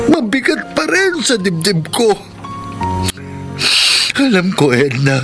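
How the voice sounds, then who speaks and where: An older man with a Filipino accent playing a remorseful grandfather who pleads haltingly and emotionally in a radio drama. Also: high-pitched. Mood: anguished, begging. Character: remorseful old grandfather, tearfully begging forgiveness.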